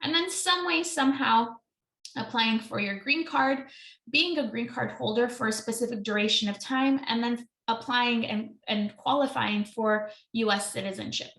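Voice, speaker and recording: A woman's voice: on the high side, moderate pace, fairly bright tone, reverberant, rough recording. A woman in her thirties, an immigration attorney, presenting a webinar in a chatty way with many ums and self-corrections.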